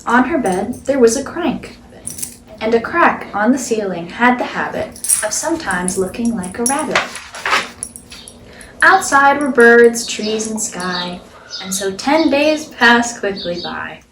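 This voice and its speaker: A woman's voice: on the high side, animated, unhurried, reverberant, recorded from a distance, noisy background. A woman storyteller hosting a read-aloud show, reading a picture book expressively for children.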